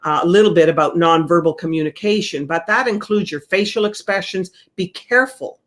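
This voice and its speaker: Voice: medium pitch, a little fast, a bit bright. Real estate sales trainer, conversational coaching delivery, repeats key points.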